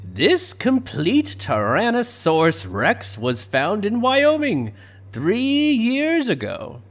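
A proud old showman of a park owner, his high voice swooping widely as he slowly savours every grand claim, as a man puts him on. He takes his time and sells each line, with music playing softly behind him. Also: huge pitch swings, full character voice. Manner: grand, enthusiastic, lingering delivery. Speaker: fan voice actor. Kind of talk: fan audio drama acting.

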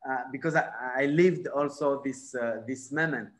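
A man in his forties from France with a French accent, speaking on a video call and often pausing for the right word. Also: medium pitch. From born in Morocco, raised in France. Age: 40s. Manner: halting, searching for words, many fillers; gestures with his hands while talking. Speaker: film director. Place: bright room at home. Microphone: laptop mic on a video call.

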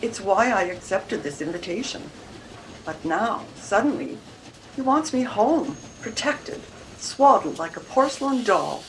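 A woman's voice: mid-range voice, animated, measured pace, low-quality recording. An older woman acting a troubled, independent-minded married lady of the Edwardian era in a radio mystery, with formal, careful diction. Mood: indignant. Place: room at home, video call. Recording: video call with background noise.

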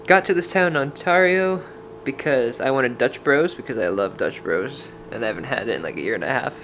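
A man's voice: medium pitch, animated, a little fast, fairly bright tone, slight background noise, rough recording. A young man from Washington state, talking into a handheld camera in a dim motel room and telling a rambling, casual story full of "like" fillers. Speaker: vlogger. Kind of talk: casual travel vlog with voice-over. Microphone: camera held at arm's length.

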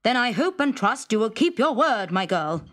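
A stern royal father in a storybook, put on by a woman, booming and deliberate. The voice swings widely in pitch with grave authority. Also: sing-song, a bit bright. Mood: grave admonishing. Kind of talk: storybook character acting.

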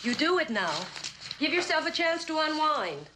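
An actress playing a brisk, caring, knowing secretary with a gently chiding manner in an old television drama. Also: female voice, sits high, big pitch swings, background noise. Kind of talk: 1960s television drama acting.

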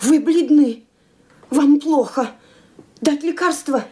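A woman's voice: sits high, slow pace, dark tone. An actress playing a devoted elderly maid who fusses and pleads anxiously over her mistress. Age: older. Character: devoted old maid, fussing and tearful.

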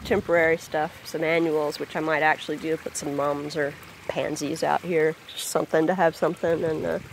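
A person talking casually outdoors by a backyard pond into a handheld phone, rambling and hesitant with many pauses and ums. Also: medium pitch, flat delivery, vocal fry, a little hiss or hum, poor audio. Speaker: vlogger. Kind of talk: casual spoken vlog. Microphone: phone held by the speaker.

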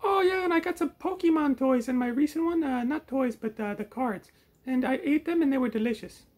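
A very high, squeaky puppet creature for a comedy puppet show. The voice is excitable and strained, with a teasing, gluttonous, cheeky streak. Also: male voice, fairly quick. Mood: silly.

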